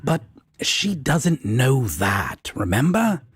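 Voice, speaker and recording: A man playing a teasing, easygoing devil roommate in an audio drama, with a husky, raspy voice and a drawling, fond manner. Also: medium pitch, very animated, slow pace, exaggerated voice. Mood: amused, teasing. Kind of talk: roleplay audio drama, comfort scene.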